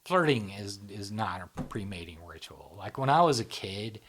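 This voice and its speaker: A man's voice: fairly low pitch, expressive, moderate pace, a bit bright. A middle-aged man hosting a casual talk, explaining at length with many fillers like "right?" and "you know". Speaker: show founder and host.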